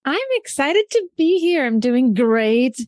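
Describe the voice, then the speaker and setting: A woman from Algeria telling her life story as a podcast guest, animated and laughing often. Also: high-pitched, a little slow, dry recording, studio quality. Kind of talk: casual interview chat.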